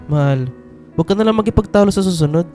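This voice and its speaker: A young husband in a radio drama, acted by a man, with a soft-edged mellow tone and a slightly raised pitch. He is gentle, caring and reassuring. Music runs underneath. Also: quick pace, close to an everyday voice. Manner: gentle, concerned, soothing.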